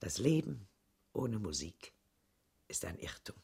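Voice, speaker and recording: A formal radio-play presenter, a woman with a very low voice. She is solemn and measured, husky in tone, and lets her phrases rise and fall strongly. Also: sing-song, dark tone, full character voice. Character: formal female radio presenter introducing a study. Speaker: radio announcer. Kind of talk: scripted radio play announcement.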